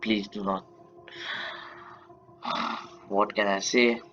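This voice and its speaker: A young man from India with an Indian accent and Marathi as his first language, retelling a fan story in halting speech full of restarts and corrections. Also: fairly low pitch, flat delivery, bright, forceful tone, highs cut off, faint music behind. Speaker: narrator.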